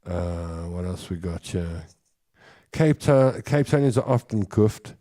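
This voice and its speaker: Chatty South African man, lively delivery. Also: sits low, slow pace. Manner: chatty, rambling, jokey, laughs at his own stories. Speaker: host. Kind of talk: comic monologue.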